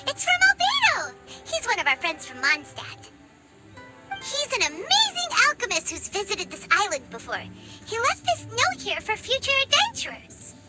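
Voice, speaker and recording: A woman voicing a tiny, cheerful, excitable fairy companion in an anime video game, eager to explain things. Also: extremely high pitch, moderate pace, exaggerated voice. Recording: loud game music behind.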